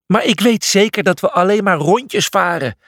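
Man acting out a bossy, urgent zebra hero, raised pitch. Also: big pitch swings, measured pace, creaky, husky, no echo, clean studio sound, full character voice. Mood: exasperated. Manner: urgent, exasperated, shouting. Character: bossy, determined young male zebra gladiator champion. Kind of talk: children's storybook character voice.

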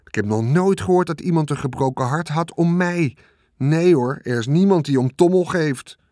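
A man's voice: on the high side, normal speed, mellow, no echo, pitched above the performer's own voice, lightly acted. A lazy male rat from a storybook, sarcastic and self-pitying, who grumbles every line in a raised, pushed voice, as a man reads him. His tone drips with mockery and complaint. The sound is close and dry, with no room around it. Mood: mocking. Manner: sulky, mocking, complaining.

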